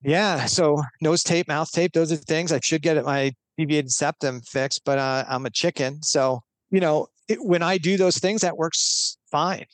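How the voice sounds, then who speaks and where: A man's voice: a little high, steady tone, measured pace, hardly any pauses, no echo. A man talking as a guest expert on a podcast, explaining earnestly step by step with a few restarts.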